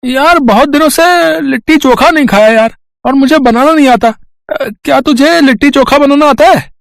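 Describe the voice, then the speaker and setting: Chummy, eager cartoon friend: very high pitch, dry sound, storybook dialogue. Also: male voice, normal speed, gravelly, no room sound. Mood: friendly, eager. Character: eager, helpful young male friend, excitable and chummy.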